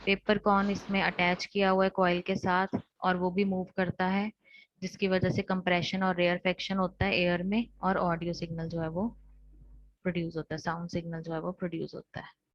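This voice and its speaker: An Indian woman gives a technical lecture in a slightly low, monotone voice. She explains in steps, rephrasing as she goes. The sound is dry and close but dull and lo-fi, like online class audio. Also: moderate pace, no room sound. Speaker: teacher.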